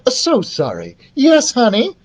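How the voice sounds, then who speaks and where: An excitable queen mother from a children's storybook. The voice leaps high and swoops widely. She gushes and dotes, stretching out her exclamations. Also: breathy edge, full character voice. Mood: flustered. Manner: gushing, drawn-out exclamations, talks over others. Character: excitable, gushing, doting storybook queen mother, hard of hearing.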